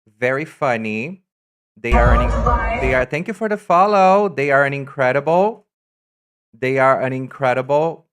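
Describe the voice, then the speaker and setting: Campy, chatty male streamer from Brazil. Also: mid-range voice. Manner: chatty, campy and excitable, trails off into asides. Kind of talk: casual live-stream chat.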